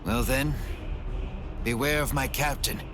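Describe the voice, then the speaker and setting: An actor playing a proud, brash wounded fighter in a video game, with a gruff, mocking and dramatic delivery. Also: male voice, medium pitch, fairly even intonation, unhurried, music in the background, lightly acted. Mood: gruff, grave. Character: brash wounded young male warrior, proud and scornful. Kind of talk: English video game voice acting.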